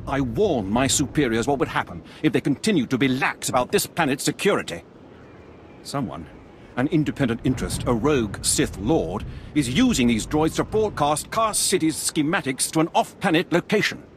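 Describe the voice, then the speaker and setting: Male actor as older game officer, medium pitch, emphatic intonation, grumbling, earnest, stern. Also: expressive, moderate pace, a little hiss or hum, natural voice. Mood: urgent, indignant. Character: indignant veteran male Imperial officer, older, disgruntled, grave. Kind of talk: video game cutscene acting.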